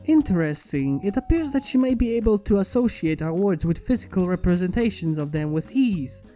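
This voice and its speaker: A woman's voice: medium pitch, expressive, brisk, mellow, lightly acted. A woman voicing a studious, earnest pony princess with a precise, formal, inquisitive manner in a fan fiction story reading. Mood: intrigued. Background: background music.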